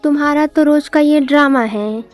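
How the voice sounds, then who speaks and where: A woman's voice: sits high, normal speed, soft background music, lightly acted. Greedy, nagging village wife played by a woman. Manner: scolding, demanding.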